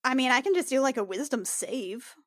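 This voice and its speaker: A woman's voice: sits high, lively intonation, brisk, no room sound, studio quality. A woman talking casually as a player in a tabletop roleplaying game, describing what her character does.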